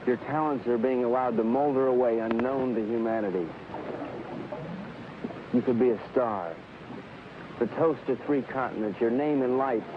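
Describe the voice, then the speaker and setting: A man playing an earnest, self-deluded secret agent in an old B-movie comedy, speaking in a deadpan, grandiose way. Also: mid-range voice, normal speed, long pauses, natural voice. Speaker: film actor, narrator. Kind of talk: deadpan first-person voice-over and dialogue in a 1960s B-movie comedy. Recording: muffled, noisy old film soundtrack.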